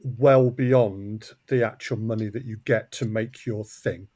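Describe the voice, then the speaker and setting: A man's voice: lowish voice, fairly even intonation, unhurried, warm tone, no echo, clean studio sound. A man talking conversationally as an interview guest. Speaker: guest, audio drama co-creator and director.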